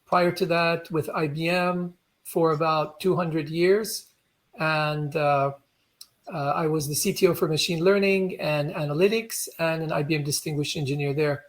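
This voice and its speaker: A man introducing himself on a tech panel, speaking with many fillers and pauses. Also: fairly high pitch, steady tone.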